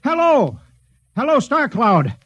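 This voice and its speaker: An actor playing an anxious, loyal military sergeant in a 1950s radio drama, eager and deferential, on a muffled recording. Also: male voice, very high-pitched, huge pitch swings, takes it slowly, fairly bright tone, a little hiss or hum, full character voice.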